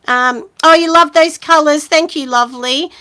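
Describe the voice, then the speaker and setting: A woman in her forties with a husky voice, hosting a casual live chat and giving friendly business advice, with lots of ums and you-knows. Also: high voice, moderate pace, pushed and bright. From Australia. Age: 40s. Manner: chatty and encouraging, many ums and you-knows.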